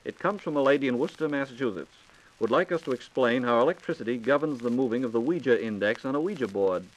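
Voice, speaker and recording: Brisk American man, 1940s radio announcer. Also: medium pitch, expressive, rough recording. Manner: polished announcer delivery, courteous. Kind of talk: 1940s radio hosting, scripted. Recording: 1940s radio transcription disc.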